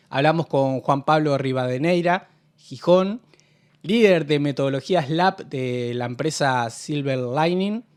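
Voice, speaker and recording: A man hosting a podcast interview, friendly and quick to laugh. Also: on the high side. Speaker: podcast host.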